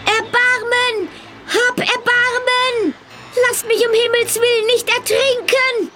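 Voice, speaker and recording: A man voicing a desperate witch woman with a shrill, wailing storybook voice. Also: flat delivery, slow pace, music in the background. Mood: terrified, begging. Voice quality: shrill, squeaky. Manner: wailing, pleading.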